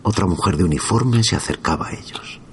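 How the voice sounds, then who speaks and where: A man from narrating a first-person horror story in a slow, hushed, suspenseful manner. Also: sits low, animated, a little hiss or hum.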